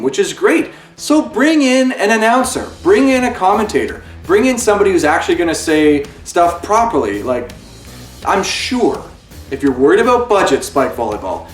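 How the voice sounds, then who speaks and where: Male game reviewer, earnest and pleading, slightly high voice, roomy distant sound with faint music under. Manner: earnest and impassioned, with pleading appeals. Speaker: video game reviewer and channel host. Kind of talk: spoken video game review. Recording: roomy echo, away from the mic, faint music.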